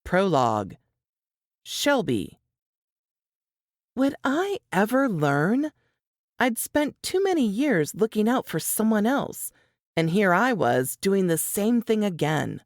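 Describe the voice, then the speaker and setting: A woman reading suspense fiction as an audiobook narrator, measured and expressive in a dramatic scripted style. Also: medium pitch, no room sound, studio quality.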